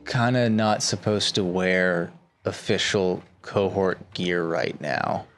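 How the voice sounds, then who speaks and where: A man's voice: deep voice, slow pace, a bit bright, slight background noise. A man voicing a young male soldier in disgrace who answers in an offhand, mumbled way.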